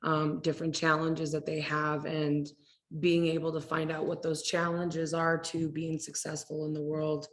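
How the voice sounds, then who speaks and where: A woman speaking as an advocate on a community panel, relaxed and conversational with frequent fillers. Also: deep voice, moderate pace. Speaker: domestic violence advocate. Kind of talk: panel discussion.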